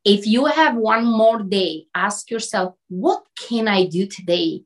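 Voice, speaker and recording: A woman with an Albanian accent speaks at a slow, deliberate pace in a mid-range voice. She talks like a motivational coach being interviewed, earnest and exhorting, in short emphatic phrases. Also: medium pitch.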